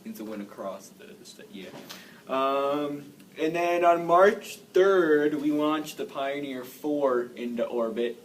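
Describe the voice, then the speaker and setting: A man's voice: fairly high pitch, darkish tone. A man presents news casually as an amateur anchor. His delivery is hesitant and laid-back, with offhand asides. He is recorded at a distance from the mic with faint noise behind him.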